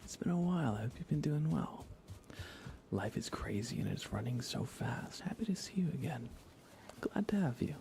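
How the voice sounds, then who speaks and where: A man speaking softly and unhurriedly, often half-whispering, as he rambles through a live ASMR gaming stream. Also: medium pitch, expressive, normal speed, vocal fry, slight background noise. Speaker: livestream host. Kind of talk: soft-spoken ASMR game livestream chat.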